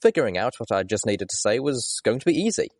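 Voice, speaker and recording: A man reading first-person fiction aloud as an expressive audiobook narrator. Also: medium pitch, quick pace, no room sound, clean studio sound. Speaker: audiobook reader.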